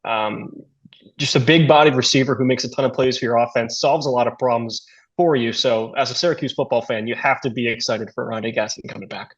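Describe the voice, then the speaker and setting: A man's voice: medium pitch, fairly even intonation, fairly quick, breathy edge, highs cut off. A man giving analysis as part of a sports talk radio discussion.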